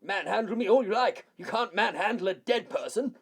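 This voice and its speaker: A man's voice: high-pitched, animated, fairly quick, creaky, exaggerated voice. A man playing a frantic, hysterical man declared dead who insists he is alive, in a theatrical audio play. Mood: manic defiance. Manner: hysterical, shouting protests.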